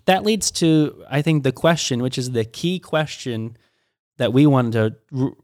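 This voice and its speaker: A man's voice: mid-range voice, a little mellow, dry recording, studio quality. A middle-aged man, a pastor, talking through ideas in a casual teaching conversation, with frequent restarts and stammers. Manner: halting, many restarts and stammers.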